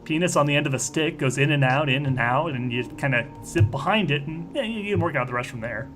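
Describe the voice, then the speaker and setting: A man's voice: a little high, quick pace, a bit bright, soft background music. A man hosting and playing a tabletop roleplaying game, chatting casually in a rambling, stammering, jokey way.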